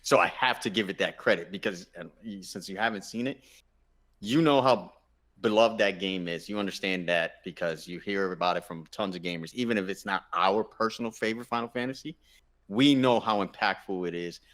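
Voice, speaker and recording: A man's voice: low voice, a little fast, fairly bright tone. A man on a podcast panel casually debating in an insistent way, often restarting his sentences as he argues. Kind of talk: casual group debate about movies and games.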